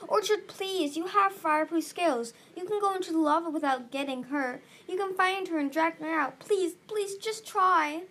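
Woman voicing a frantic young male dragon, high-pitched. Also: slow pace, few pauses, dark tone. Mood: pleading. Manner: urgent, stammering when flustered. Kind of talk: audiobook-style character reading.

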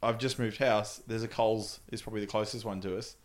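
A man's voice: lowish voice, flat delivery, fast. A young Australian man co-hosting a podcast, bantering casually with friends. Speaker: podcast co-host.

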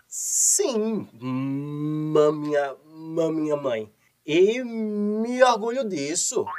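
Slow, heavily stuttering blusterer. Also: male voice, a little high, lively intonation, a little mellow. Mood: hesitant, boastful. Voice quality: heavy stutter. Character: stuttering, indignant henpecked son, a blustering brother-in-law. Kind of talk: radio soap opera comedy acting.

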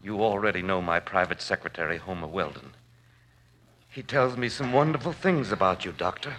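A frightened, sickly rich father in his fifties. The voice sits low with a sharp edge and quavers weakly. He pleads and gives orders in old-time radio drama style. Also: normal speed. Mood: commanding. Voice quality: weak, quavering. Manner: imperious, pleading, self-pitying. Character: sick, frightened, demanding rich father of fifty-five. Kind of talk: 1940s radio acting. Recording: vintage radio drama recording.

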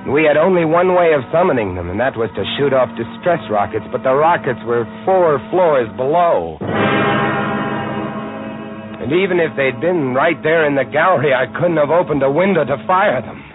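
An actor playing a desperate, weary lighthouse keeper, narrating dramatically and deliberately in old-time radio horror style. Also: male voice, a little high, lively intonation, faint music behind, lightly acted. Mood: urgent horror. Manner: dramatic, deliberate, hushed to urgent swells. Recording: tinny, band-limited vintage radio recording.

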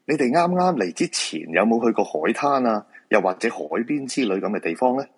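A man's voice: mid-range voice, big pitch swings, a little fast, breathy edge. A man playing a polite, patient feng shui master in a radio drama, explaining things reassuringly with a Hong Kong Cantonese accent. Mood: calm, reassuring.

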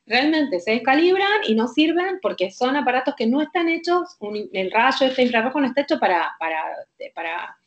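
A woman with a Rioplatense accent speaks in a fairly high voice, explaining medical points as a doctor. She is insistent and emphatic and keeps asking whether the listener follows. The audio is dull and lo-fi. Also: big pitch swings, normal speed. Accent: Rioplatense Spanish. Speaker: doctor guest. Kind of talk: heated conversational explanation.

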